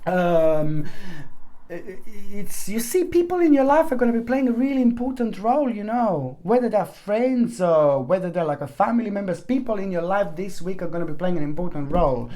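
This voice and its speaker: A woman's voice: medium pitch, animated, normal speed, warm tone. A woman talks through a tarot reading with earnest emphasis. She repeats her points, trails into fillers and restarts, and calls her viewers fond pet names. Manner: earnest, repeats herself, addresses viewers with endearments. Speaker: tarot reader. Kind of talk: weekly tarot reading monologue to camera.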